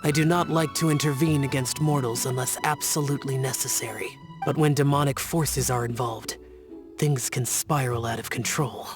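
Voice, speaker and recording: A man playing an aloof immortal guardian in a video game, youthful-sounding, formal, stern and measured. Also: medium pitch, steady tone, over music, natural voice. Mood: stern, detached. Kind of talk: video game character acting.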